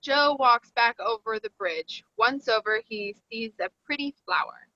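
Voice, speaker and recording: A woman's voice: high-pitched, sing-song, measured pace, vocal fry, sharp tone, dry recording, muffled recording. A woman reading screenplay action lines as scripted narration. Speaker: stage directions reader.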